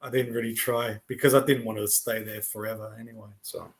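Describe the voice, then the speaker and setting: Relaxed, chatty man on a video call. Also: fairly low pitch, a little fast. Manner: relaxed and chatty, laughs often, trails off with fillers. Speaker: online English tutor. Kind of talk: casual conversation lesson.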